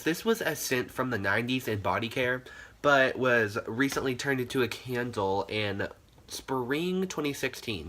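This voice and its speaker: A man's voice: mid-range voice, sharp tone, a little hiss or hum. A young man with a stuffy, nasal voice from congestion, chatting casually and filled with "like" as he reviews wax melts on his channel. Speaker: candle channel host. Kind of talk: casual product review vlog.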